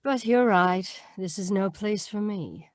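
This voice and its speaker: Slow, calculating cafe singer played by a woman. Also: mid-range voice, no echo, clean studio sound, close to an everyday voice. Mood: coy, dissatisfied. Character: selfish, scheming cafe singer with expensive tastes. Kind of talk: old-time radio drama acting.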